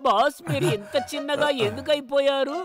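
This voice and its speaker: A loyal but dim cartoon henchman. He speaks in a high, whiny, plaintive voice, sounding slow on the uptake. Music runs behind him. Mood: bewildered, whining. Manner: slow-witted, plaintive, repeatedly addressing boss. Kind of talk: animated children's cartoon acting. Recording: background music under the voice.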